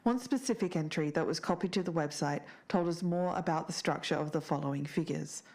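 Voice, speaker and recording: Low-voiced, measured woman investigator played by an actress. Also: a little mellow. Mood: solemn, measured. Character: earnest, faintly bitter female podcast investigator reading evidence aloud. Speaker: podcast co-host. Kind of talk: scripted fiction-podcast narration.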